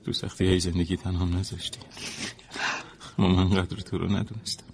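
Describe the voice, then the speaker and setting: An actor playing a devout, remorseful middle-aged husband condemned by a court, pleading and solemn in a drama. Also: male voice, deep voice, level tone, gravelly, darkish tone. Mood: tender remorse. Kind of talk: dramatic acting.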